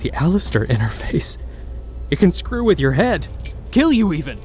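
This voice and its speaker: A man's voice: on the high side, very animated, normal speed, darkish tone, a little hiss or hum. An actor playing a distraught, distrustful male space traveler in an audio drama, terse and defiant. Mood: angry disbelief. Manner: terse, confrontational. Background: music.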